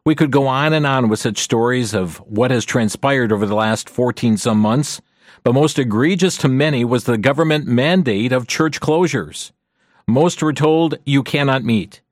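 A man hosting a radio talk show, earnest and measured as he addresses listeners directly. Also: mid-range voice, expressive, dry recording, studio quality.

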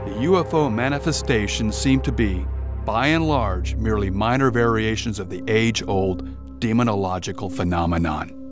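Low, serious male narrator. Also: normal speed, over music. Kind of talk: documentary narration.

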